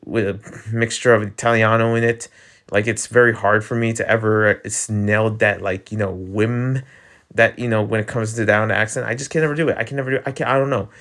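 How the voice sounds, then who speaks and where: A man's voice: fairly low pitch, fairly even intonation, fairly quick, a bit bright. A man with an East Coast American accent hosting his channel, ranting in a rambling way with stammered restarts and laughs. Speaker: channel host. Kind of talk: casual rant vlog.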